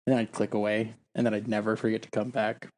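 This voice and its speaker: A man co-hosting a podcast in casual chat, low-key in his reactions and remarks. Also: little pitch movement, fast, darkish tone. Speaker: podcast co-host.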